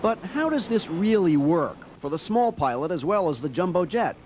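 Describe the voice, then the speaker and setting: An American man narrating a television news feature as a reporter, in a measured, wry storytelling style. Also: high voice, sing-song, a little mellow, slight background noise.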